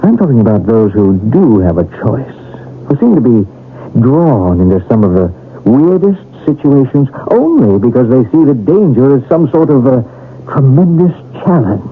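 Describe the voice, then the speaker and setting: A man playing a calm, thoughtful, probing doctor in a 1970s radio drama. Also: medium pitch, moderate pace. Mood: sociable, reflective. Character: Carlos, calm inquisitive male doctor open to the occult. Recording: tinny, noisy 1970s radio recording.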